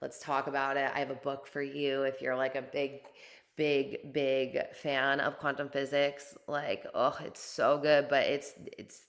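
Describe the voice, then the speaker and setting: A young woman hosting a podcast, talking casually and enthusiastically about her coaching program, her voice a little raspy from teaching, with lots of "like" and casual swearing. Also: extremely low pitch, little pitch movement, a little slow. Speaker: podcast host and spiritual coach. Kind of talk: casual monologue promoting a course.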